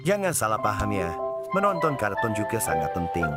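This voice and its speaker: A man voicing a wise grown-up character in an Indonesian children's cartoon, instructive and expressive. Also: fairly low pitch, brisk. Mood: gently lecturing. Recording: loud plucked-string music behind.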